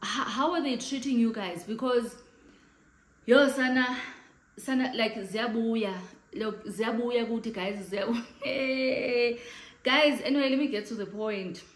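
A woman's voice: a little high, slow pace, roomy sound, low-quality recording. A South African woman in her late thirties with a big voice, chatting casually to camera in her living room and gesturing as she talks. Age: late 30s. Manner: chatty, animated hand gestures, mixes in Xhosa phrases. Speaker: vlogger. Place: living room, indoors, in front of a sofa.

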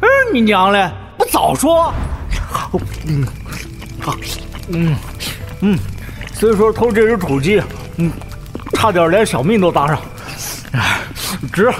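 A spoiled young nobleman from a martial-arts radio play, high in pitch and slow in pace. He is famished, cheeky and irritable, grumbling and exclaiming over faint music. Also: sing-song, heavily acted. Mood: eager, exclaiming. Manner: exclamatory, grumbling, drawn-out complaining. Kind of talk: Chinese audio drama acting.

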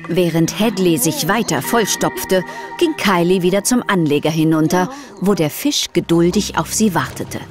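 A woman's voice: on the low side, normal speed. A woman narrating a children's audio story in a scripted storybook style. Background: music under the voice.

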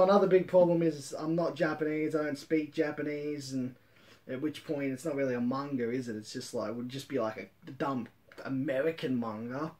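A man's voice: fairly high pitch, darkish tone. A man in his thirties hosting a casual comedic review, rambling and swearing, repeating himself with mock-boastful swagger. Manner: rambling, sweary, repeats phrases for comic effect. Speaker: host of a manga review show. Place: room at home with bookshelves.

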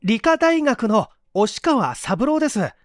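A man's voice: very high-pitched, sing-song, slow pace, dry recording, studio quality, voice pushed up, heavily acted. A man voicing an earnest, deferential young male student in an audiobook mystery.

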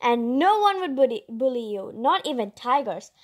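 A female host reading a children's picture book aloud, expressively, with chatty asides and drawn-out emphasis. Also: a little high, sing-song.

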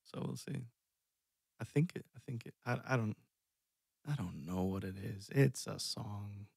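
A man's voice: on the low side, unhurried, creaky, darkish tone, husky, no room sound, clean studio sound. A man from Iceland in his thirties, chatting casually and rambling on a music live stream, self-deprecating and chuckling often. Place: dark room indoors. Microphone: headset.

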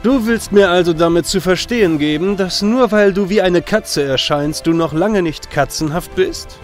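A doubtful, teasing young warrior, voiced by a man from a radio play talks in a slightly raised, easy tone. He sounds casual even when he is mocking. Faint music sits behind him. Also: high voice, moderate pace, pitched above the performer's own voice, lightly acted. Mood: wry. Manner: mocking, laid-back. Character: young male warrior hero, wry and doubtful.

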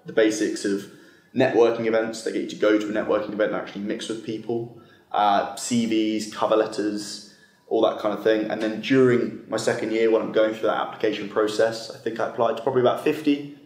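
A man's voice: lowish voice, level tone, a little fast, a little mellow, echoey room. A young man, a student, talking earnestly and fluently on a university open day panel, recorded by a camera across a meeting room. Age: young adult. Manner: earnest, fluent, with some fillers. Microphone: far camera.

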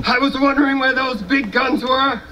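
A man's voice: extremely high pitch, little pitch movement, moderate pace, bright, forceful tone. A man playing a proud, folksy, scornful American soldier in a live historical battle dramatization. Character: proud, folksy American soldier boasting about his army. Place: outdoor stone amphitheater, far camera. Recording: distant outdoor recording with noise.

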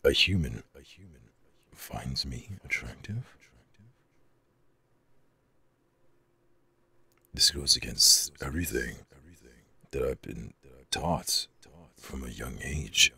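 A massive male dragon, earnest, proud and easily flustered, for an ASMR audio roleplay. The voice is extremely low and heavily gravelled, with a hard, sharp edge. He feels his way through sentences slowly, stammering and hesitating. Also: lively intonation, no echo, full character voice. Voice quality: very deep, gravelly growl with breathy rasp.

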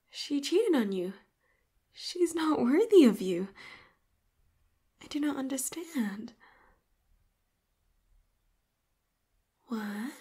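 A devoted young robot girl with a possessive streak, played by a woman in an audio roleplay. She murmurs in a breathy, slightly high half-whisper. She takes her time, lingering over each word as she dotes on her Master. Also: mellow, full character voice. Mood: indignant. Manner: doting, speaks to listener as Master. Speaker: voice actor.

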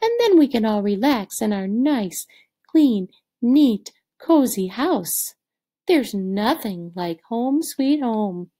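A woman voicing a practical, homely mother pig from a picture book, with expressive storybook delivery. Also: fairly high pitch, takes it slowly. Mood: weary, contented.

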